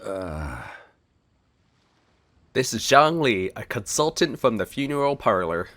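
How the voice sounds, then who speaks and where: A man's voice: medium pitch, very animated, slow, a bit bright. A man giving casual let's-play commentary on a video game.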